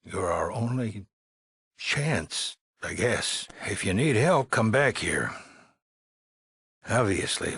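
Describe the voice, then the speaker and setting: An actor playing a weary, anxious middle-aged male leader of an underground shelter in a video game, with a raspy, gravelly voice and halting, earnest delivery. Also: low voice, pushed and bright, dry recording, full character voice. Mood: worried, hesitant, then grave, urgent. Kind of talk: dramatic game dialogue acting.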